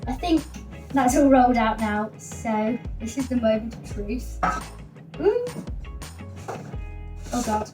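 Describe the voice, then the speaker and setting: High-voiced woman in an echoey room. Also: expressive, slow pace, long pauses, warm tone. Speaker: cooking show presenter. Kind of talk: casual cooking demonstration chat. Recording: echoey room, distant mic, music behind.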